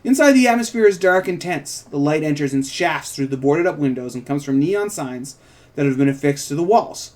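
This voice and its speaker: A man's voice: a little high, animated, brisk, darkish tone. A man co-hosting an informal table read, reading stage directions and breaking off into rambling, self-interrupting asides. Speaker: podcast host and co-writer reading stage directions of his own script.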